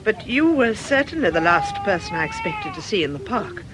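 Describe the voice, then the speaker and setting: A woman playing a courteous, gently sceptical religious sister in a radio drama, polite and questioning, on a noisy recording. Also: mid-range voice, sing-song, brisk, natural voice. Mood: polite, interested.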